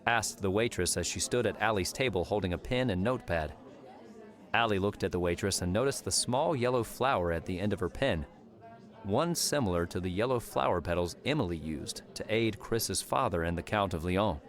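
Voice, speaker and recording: Very deep-voiced male audiobook narrator. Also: lively intonation. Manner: measured storytelling. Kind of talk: scripted fiction narration.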